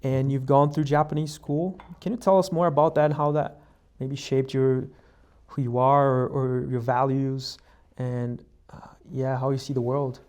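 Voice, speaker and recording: A man's voice: mid-range voice, little pitch movement, moderate pace, a little mellow, smooth. Male podcast host from Brazil. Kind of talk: casual interview.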